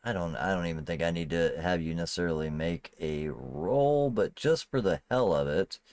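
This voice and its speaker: Male game master, low register, dry close sound, conversational improvised narration for tabletop play. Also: expressive, normal speed, a little mellow, no room sound. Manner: conversational, often asks "you know what I mean".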